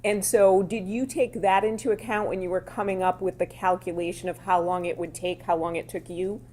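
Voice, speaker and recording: A woman attorney questioning a witness in court, with a loud voice and a conversational, plainspoken style of quick follow-up questions. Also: medium pitch, steady tone.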